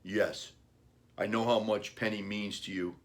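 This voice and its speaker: A man reading a children's storybook, playing an earnest, gentle father talking about his young son's wishes. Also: fairly low pitch, fairly even intonation, unhurried, close to an everyday voice. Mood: regretful.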